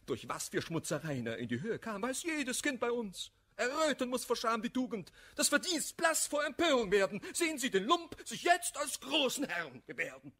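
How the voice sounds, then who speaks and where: An actor playing an embittered, hot-headed misanthrope in a classical German radio play, declaiming vehemently in rhymed verse. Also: male voice, very high voice, very animated, normal speed, few pauses, pushed and bright, exaggerated voice. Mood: hateful, outraged. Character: embittered, hot-headed male misanthrope railing against hypocrisy.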